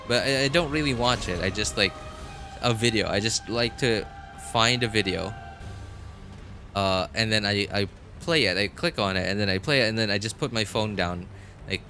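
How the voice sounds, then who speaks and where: A man chatting casually as a game livestreamer, rambling and halting as he thinks aloud. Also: on the low side. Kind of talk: casual chat to viewers while gaming. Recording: music playing behind the voice. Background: background music.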